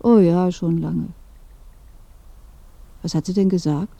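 A woman's voice: fairly low pitch, fairly even intonation, slow pace, dry recording, heavily acted. An actress playing a dead old woman in a radio play, chatty, knowing and inquisitive. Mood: gossipy. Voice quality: dark, creaky. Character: dead old woman, curious gossip in a grave. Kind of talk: radio drama dialogue.

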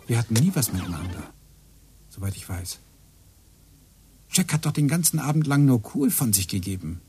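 An actor playing a brooding computer engineer who mutters to himself and grows agitated in a radio play. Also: male voice, mid-range voice, noisy background, natural voice.

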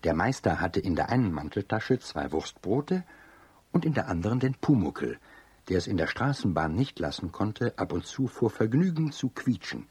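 A man's voice: on the low side, sing-song, moderate pace, darkish tone, husky, dry recording, exaggerated voice. A man narrating a children's radio play as a storyteller. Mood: storytelling.

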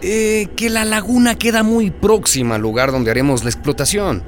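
Man playing an uneasy, high-voiced company underling. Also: moderate pace, slight background noise, close to an everyday voice. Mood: nervous, hesitant. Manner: hesitant, obsequious. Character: deferential, uneasy male assistant to a company boss. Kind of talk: radio drama acting.

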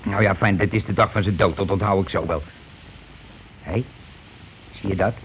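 Glib, bossy working-class schemer. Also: male voice, sits low, steady tone, moderate pace. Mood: glib, persuasive. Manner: glib, colloquial, self-justifying. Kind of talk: Dutch radio play acting. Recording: noisy old radio play recording.

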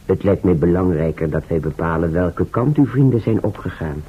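An actor in a radio drama playing a solemn, courteous fifteenth-century man, formal and stately, with a husky voice. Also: deep voice, lively intonation, normal speed. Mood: grave, polite. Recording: dull old radio recording.

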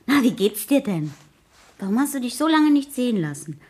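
An actress playing a lively, naive teenage schoolgirl in a German radio play, chattering eagerly and wheedling. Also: fairly high pitch, moderate pace. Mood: excited, eager.

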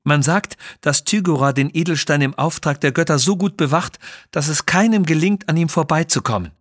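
A man plays a brave young Indian guide from a children's audio adventure. The voice is raised and a little husky, with an earnest, pleading manner. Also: on the high side, brisk, no room sound, studio quality, pitched above the performer's own voice, natural voice. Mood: awed, earnest.

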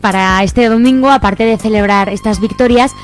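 A woman's voice: medium pitch, normal speed. A woman with an accent from Spain co-hosting a live community radio show from a studio. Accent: Spanish from Spain. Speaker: radio co-host. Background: music playing behind.

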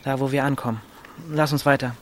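A man's voice: mid-range voice, fairly even intonation, brisk, slight background noise, natural voice. An actor playing a restless, defiant and pragmatic teenage boy on the run in a German radio drama. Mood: defiant, visionary.

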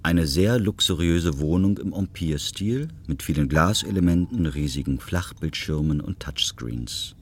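Male narrator, low-pitched, husky, scripted scene descriptions, audio drama stage directions. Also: unhurried, few pauses.

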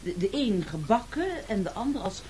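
Eager servile sidekick, hungry and stammery, highish voice, noisy old radio play. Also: male voice, sing-song, normal speed. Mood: eager gloating. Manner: hesitant, stammering, fawning. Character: eager, servile male henchman, gleeful hungry gourmand. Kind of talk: absurdist radio play acting.

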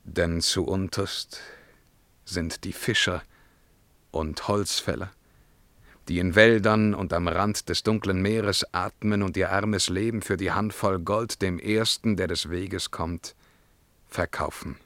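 An actor playing an imperious, brooding emperor in a verse radio drama, declamatory and commanding in a solemn, measured way. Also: male voice, sits low, steady tone, a bit bright, no room sound, full character voice. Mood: grandiose, exalted. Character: imperious, brooding Byzantine emperor, haughty and troubled.

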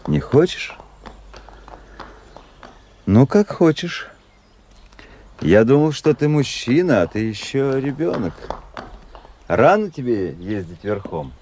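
An actor playing a cool, cunning young officer, a teasing and self-assured tempter, in a radio drama. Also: male voice, medium pitch, big pitch swings, takes it slowly, long pauses, warm tone, slight background noise. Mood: taunting.

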